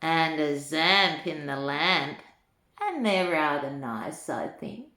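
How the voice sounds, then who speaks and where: An older woman, a grandmother, reads a rhyming children's storybook aloud in an unhurried lilt, chatting to young listeners in asides. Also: low-pitched, expressive, pushed and bright. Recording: echoey room.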